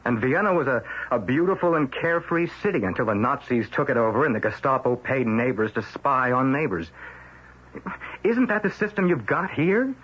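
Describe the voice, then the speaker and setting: An actor playing a stubborn, suspicious male newspaper reporter in a radio drama, talking fast and combative. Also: medium pitch, lively intonation, fairly bright tone, husky. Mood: argumentative. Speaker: lead character in radio drama. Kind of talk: 1970s radio drama acting. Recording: muffled old radio broadcast.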